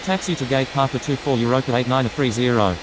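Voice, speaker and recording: Noisy, hissy audio carries a man acting as a brisk airport radio operator from a simulation game. He rattles through formulaic aviation calls quickly, in a clipped manner. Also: medium pitch, fairly even intonation, lightly acted. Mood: businesslike. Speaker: air traffic control and pilot radio voice. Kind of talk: scripted aviation radio phraseology.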